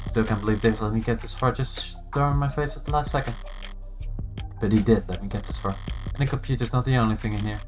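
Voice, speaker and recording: A man's voice: lowish voice, fast talker, faint music behind. A man streaming a game, reading its visual novel narration aloud.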